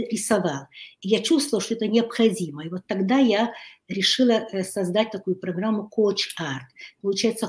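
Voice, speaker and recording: Halting woman with a strained voice. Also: mid-range voice, a little mellow. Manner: halting, searching for words, frequent restarts. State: voice strained after recent illness. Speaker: guest, life coach and family therapist. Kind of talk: casual interview talk.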